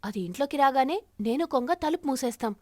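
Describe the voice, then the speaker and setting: A woman's voice: sits high, expressive, a little fast, warm tone, dry recording, clean studio sound. A woman voicing a firm, sensible sparrow who leads a bird flock in a cartoon, earnest and instructing.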